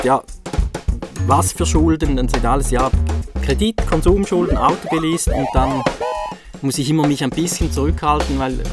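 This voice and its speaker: A man explaining as an educator in a plain, colloquial way, slipping in short bits of example dialogue. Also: medium pitch. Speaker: financial literacy educator. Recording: loud music bed under voice. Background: music with drums and guitar.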